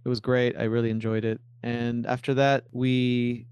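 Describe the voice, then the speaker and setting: Argentine-born, Miami-raised male composer, rambling and casual, smooth voice, dry close sound. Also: mid-range voice, flat delivery, normal speed, a little mellow, no room sound. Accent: American, Miami. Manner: rambling, many restarts and likes. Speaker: composer, guest. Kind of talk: casual interview answers.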